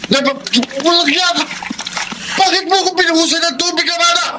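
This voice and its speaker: High-voiced, defensive Filipino husband. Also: male voice, steady tone, fairly quick, background noise. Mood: angry. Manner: dismissive, blustering, evasive. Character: defensive, irritable, lying philandering husband. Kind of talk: Filipino radio drama acting.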